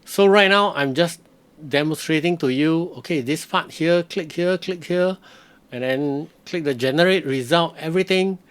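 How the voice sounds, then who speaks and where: A man narrating a screen-recorded software review as a tutorial, speaking in halting, stop-start phrases. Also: a little high, clear, resonant tone.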